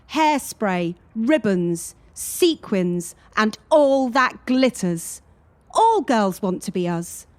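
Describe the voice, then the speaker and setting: An actress playing a wary, cynical young gymnast in a radio drama, with a deliberate, emphatic delivery. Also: female voice, high voice. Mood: haunted, bitter. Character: wary, cynical female gymnast warning her teammate.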